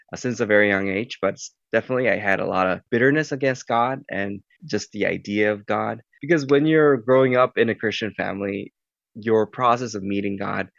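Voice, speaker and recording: Korean male guest, low and gravelly, halting answers on a dull mic. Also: fairly bright tone, no echo. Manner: thoughtful, many fillers and restarts. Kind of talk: interview answers.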